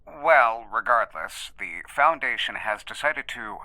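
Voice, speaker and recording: A man playing a formal head researcher conducting an interview in an audio drama, polite, guarded and halting. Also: medium pitch, animated, sharp tone, dry recording, low-quality recording.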